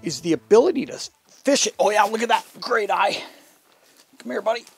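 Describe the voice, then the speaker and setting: A man's voice: high-pitched, a little fast, vocal fry, breathy edge, faint music behind. A middle-aged man giving casual, instructional fishing commentary in a folksy, enthusiastic, conversational way. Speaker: fishing show host. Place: inside a fabric ice-fishing shelter.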